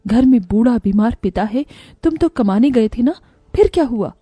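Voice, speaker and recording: A woman performs a poor, devoted mother in a cartoon moral tale. Her voice is high and very mellow, with a husky edge. She speaks earnestly and with strong emotion. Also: lively intonation, moderate pace, no room sound, studio quality. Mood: indignant. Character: poor, hardworking mother, loving yet indignant and anguished.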